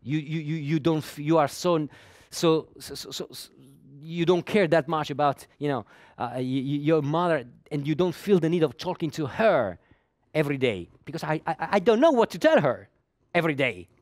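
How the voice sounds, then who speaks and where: A man's voice: on the high side, animated, moderate pace, darkish tone, no echo, studio quality. A middle-aged man gives a technical conference talk, often repeating words while he searches for the next one. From Italy. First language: Italian. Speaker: conference speaker.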